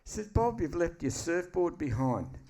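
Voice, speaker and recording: A man's voice: a little high, sing-song, normal speed, mellow. Easygoing man in his seventies. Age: 70s. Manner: laid-back, chuckling, easygoing yarns. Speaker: guest surfer. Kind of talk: casual chat on a live podcast.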